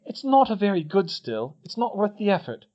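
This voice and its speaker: A man reading as an anxious, bookish teenage schoolgirl witch from a storybook, pitched well above his own voice. She speaks high and earnest, her pitch swinging widely, and the recording sounds dull and lo-fi. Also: big pitch swings, moderate pace, a little mellow. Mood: earnest, determined. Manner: high, earnest, tearful pleading.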